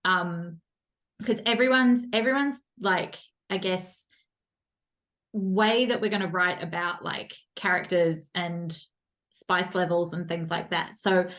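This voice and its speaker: A woman's voice: on the low side, fairly even intonation, slow pace, a bit bright. A woman hosting a podcast, chatting casually with a guest and laughing often, with many "like" fillers. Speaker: podcast host. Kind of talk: casual interview chat.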